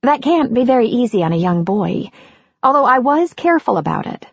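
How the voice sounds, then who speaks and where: An eager, warm middle-aged woman, as an audiobook narrator puts her on, her voice lifting higher than the narration and swinging widely. The tone is a little husky. The recording is dry and dull. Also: big pitch swings, brisk, no echo, full character voice.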